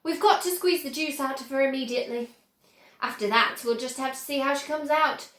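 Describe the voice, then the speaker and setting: A woman voicing a calm, eccentric man who runs a candy factory, speaking matter-of-factly in a storybook reading. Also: high-pitched, brisk. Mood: calmly regretful. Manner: unbothered, matter-of-fact. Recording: roomy echo.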